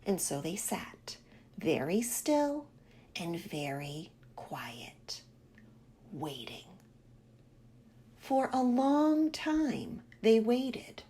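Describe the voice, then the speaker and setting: A woman reading a picture book aloud to young children in an expressive, deliberate, dramatic storytelling manner. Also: mid-range voice, husky.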